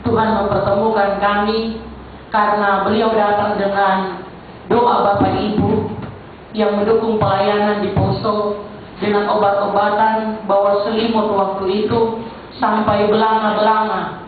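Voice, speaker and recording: A woman's voice: medium pitch, level tone, a little slow. Sulawesi-accented church lady telling her story to the congregation, tinny lo-fi sound, faint hiss. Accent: Sulawesi Indonesian. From Central Sulawesi, Indonesia. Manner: conversational, addressing the congregation directly. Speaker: church council chair. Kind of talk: testimony to a congregation. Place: church hall. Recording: echoey church hall, distant mic, phone-like band, faint noise.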